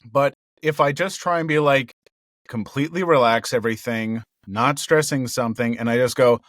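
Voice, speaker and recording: Man, voice actor, cheerful, excitable, gravelly undertone, dry close recording. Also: medium pitch, moderate pace, dry recording. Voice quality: speaks in a raised register with a gravelly undertone. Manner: cheerful and excitable, laughs often. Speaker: voice actor guest. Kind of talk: casual interview chat. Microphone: headphones and a home recording mic.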